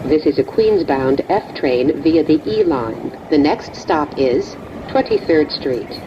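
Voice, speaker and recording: A woman giving formal, measured, scripted transit announcements inside a rumbling subway car. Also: expressive, lo-fi sound. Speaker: train announcer. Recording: loud train noise throughout.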